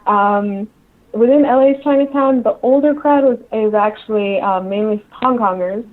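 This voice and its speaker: A woman's voice: fairly high pitch, normal speed. A woman speaking as an interview guest, explaining things in an informal, conversational way over a remote call. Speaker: interview guest, book publisher. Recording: tinny phone-like call line.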